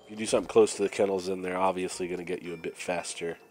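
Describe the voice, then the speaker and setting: Low, raspy-nosed gamer with a cold. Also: male voice, very fast, a little hiss or hum. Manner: relaxed, thinking aloud while playing. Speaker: gameplay commentator. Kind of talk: casual let's-play commentary. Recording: faint game music behind.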